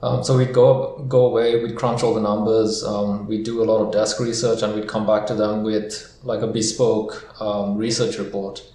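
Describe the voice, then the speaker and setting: A man from Sri Lanka and Singapore, a podcast guest answering questions in a relaxed way with many ums. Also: lowish voice, normal speed, few pauses. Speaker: guest, equity research founder. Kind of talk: casual interview answers. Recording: echoey room, faint noise.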